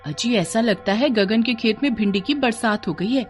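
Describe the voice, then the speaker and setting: A jealous village wife, acted by a woman, in a Hindi cartoon tale. Her voice sits high, and she scolds and taunts in a rustic eastern dialect, with music underneath. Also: moderate pace, natural voice. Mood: envious. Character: spiteful, jealous village wife, hot-tempered schemer.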